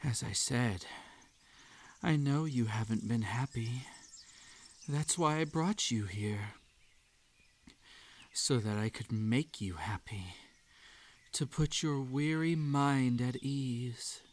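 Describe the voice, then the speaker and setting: A man's voice: mid-range voice, lightly acted. A man voicing an obsessive magical bunny in a hypnotic audio roleplay, coaxing and soothing with a desperate edge. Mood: coaxing, possessive. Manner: slow, soothing, coaxing delivery. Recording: nature ambience behind the voice.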